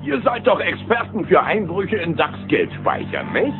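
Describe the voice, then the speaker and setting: Scheming cartoon villain boss, drawn-out gravelly delivery, swoops wildly, tinny old tape. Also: male voice, high voice, huge pitch swings, exaggerated voice. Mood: sly, commanding. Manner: drawn-out, dramatic delivery with Spanish words. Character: theatrical scheming Spanish-flavoured villain mastermind. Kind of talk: cartoon audio drama acting. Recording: band-limited old cassette audio, loud music.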